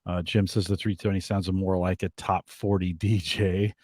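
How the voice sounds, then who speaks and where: A man with a deep voice co-hosting a podcast, speaking hesitantly with fillers and reading out listener comments. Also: level tone, moderate pace, darkish tone, no room sound, clean studio sound. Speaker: podcast co-host. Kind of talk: casual tech chat.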